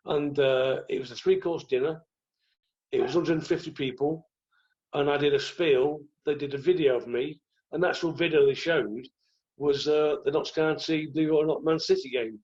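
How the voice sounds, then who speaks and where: A croaky-voiced man, telling rambling stories as a podcast guest. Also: on the high side, steady tone, normal speed, darkish tone, highs cut off. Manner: rambling, restarts often. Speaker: guest, former goalkeeper. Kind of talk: relaxed anecdotal chat.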